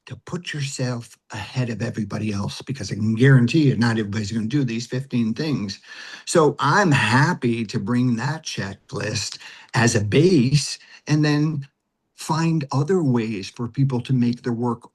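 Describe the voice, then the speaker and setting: A man talks in an interview as an acting teacher and director. His voice sits at a middle pitch with a slightly rough, unpolished edge. He explains in a conversational but emphatic way, repeating short phrases to make his point.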